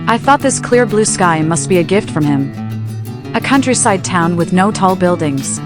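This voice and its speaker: A woman reading a first-person story aloud, soft and wistful, with music behind her. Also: on the low side, expressive, moderate pace. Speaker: audiobook narrator. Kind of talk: scripted first-person narration.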